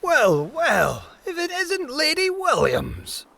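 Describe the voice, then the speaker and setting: Pirate captain for historical audio drama, male actor: gruff, booming, authoritative, measured, looking out for his passengers. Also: expressive. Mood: hearty, jovial. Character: bearded older sea captain, booming, gruff but protective.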